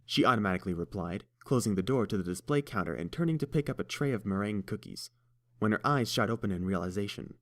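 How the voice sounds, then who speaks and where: A man reading a fan story aloud with a very low voice. His delivery is steady and even, paced like an audiobook. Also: quick pace. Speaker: narrator.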